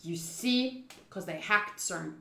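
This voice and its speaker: A young woman with an expressive, chatty manner, reading a visual novel aloud and commenting as she plays. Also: medium pitch, takes it slowly, gravelly. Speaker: streamer playing a visual novel. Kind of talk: gaming commentary, reading story text aloud. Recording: roomy, echoing voice.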